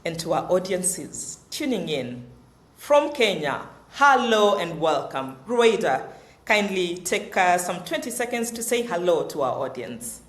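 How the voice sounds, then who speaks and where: A woman hosting a webinar, introducing each speaker in a formal, measured way. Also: lowish voice, big pitch swings, slight background noise. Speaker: webinar host. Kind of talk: moderating a webinar. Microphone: video call. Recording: roomy echo.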